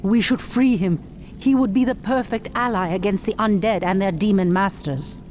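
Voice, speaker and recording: A woman playing a proud, determined elven high priestess in a fantasy video game, formal and resolute. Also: moderate pace, darkish tone, a little hiss or hum, close to an everyday voice. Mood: defiant. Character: proud, determined night elf high priestess, ancient and commanding. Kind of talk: video game cutscene acting.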